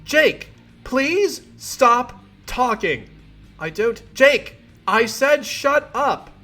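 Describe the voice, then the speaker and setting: An exasperated young woman as a man acts her out scolds in a high, forceful voice. She is biting and emphatic, raised to the edge of a shout, with music behind. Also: sing-song, drawn-out words, full character voice. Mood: screaming fury.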